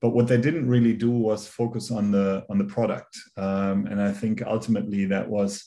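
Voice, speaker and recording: A man in his forties speaking with a German accent, his voice low and narrow in pitch. He talks in a measured way over a video call, with frequent fillers and self-corrections as he works through his thoughts. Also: level tone, mellow. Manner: measured, many fillers and restarts. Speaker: guest, company founder. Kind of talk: conversational interview.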